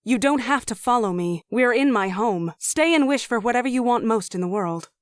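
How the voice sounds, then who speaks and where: Impetuous royal girl, actress: highish, crystal clear, imperious, fantasy drama. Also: expressive, normal speed, no room sound, studio quality, lightly acted. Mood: annoyed. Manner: imperious, sharp-tongued. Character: haughty, impetuous young princess. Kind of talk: fantasy audio drama acting.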